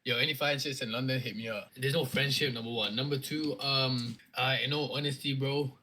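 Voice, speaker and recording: A man's voice: mid-range voice, steady tone, a little fast, bright, forceful tone, a little hiss or hum. A young man from Portugal, a streamer, bantering and roasting in slangy casual chat on a call.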